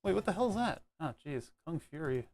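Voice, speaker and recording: Man, somewhat high voice, casual streamer chat, rambling, chuckles often, lapel mic. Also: sing-song, brisk, a little mellow. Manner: easygoing, chuckles often, talks through his screen setup. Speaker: livestreamer.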